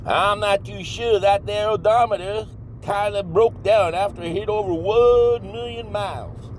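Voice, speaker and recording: A man's voice: sits high, steady tone, unhurried, slight background noise. A man acting a cocky, folksy backwoods monster hunter in a comedy horror audio drama, rambling in a drawl with mangled grammar. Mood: breezy, unconcerned.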